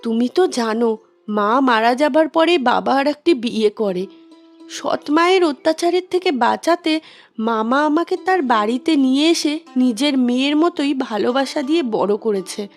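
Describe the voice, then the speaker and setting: A woman's voice: sits high, animated, moderate pace, soft background music. A woman playing a wronged young woman's ghost, plaintively telling of her suffering in a horror cartoon. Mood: anguished, plaintive. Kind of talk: dramatic storytelling in a horror cartoon.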